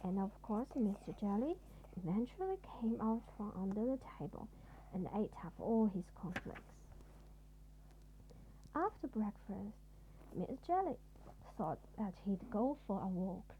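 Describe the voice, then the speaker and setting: A woman narrating a children's storybook as a parent would at bedtime, with deliberate, expressive phrasing. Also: mid-range voice, phone-quality sound, a little hiss or hum.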